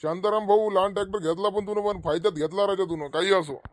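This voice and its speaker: A man playing a blunt, excitable middle-aged village farmer uncle in a comedy cartoon, speaking emphatically in an earthy Vidarbha Marathi accent. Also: high voice, quick pace, dry recording, lightly acted. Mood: admiring chatter. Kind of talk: homemade rural comedy cartoon dialogue.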